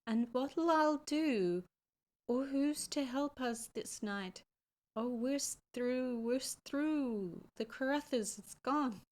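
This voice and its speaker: A woman's voice: sits high, takes it slowly, pitched above the performer's own voice. Woman reading a frantic Irish peasant widow. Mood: distraught. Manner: pleading, rising cries in a light Irish lilt.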